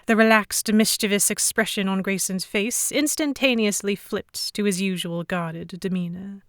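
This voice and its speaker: A woman narrating a scripted first-person audio drama in an expressive, dramatic storytelling manner. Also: mid-range voice, normal speed, no echo, studio quality.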